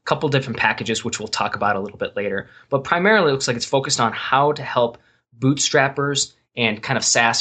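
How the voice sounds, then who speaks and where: A man's voice: mid-range voice, pushed and bright. A man hosting an interview over a call, talking loosely with plenty of "um"s and restarts as he introduces and questions his guest. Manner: loose and rambling, lots of "um" and self-corrections. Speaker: podcast host.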